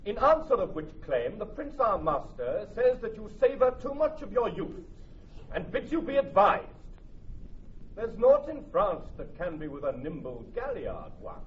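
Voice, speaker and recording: An actor playing a haughty, mocking French envoy, formal and pointed, in a classical radio drama. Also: male voice, sits high, big pitch swings, a little slow. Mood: formal mockery. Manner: ceremonious, pointed. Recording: distant, dull, faintly noisy radio recording.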